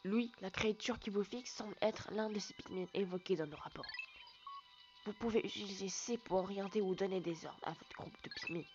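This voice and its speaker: Brief: young gamer voicing a game's spaceship computer, plain read, dull sound, loud music behind. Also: mid-range voice, a little fast, lightly acted. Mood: informative. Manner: reads on-screen game text aloud plainly. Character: ship's computer voice from a video game.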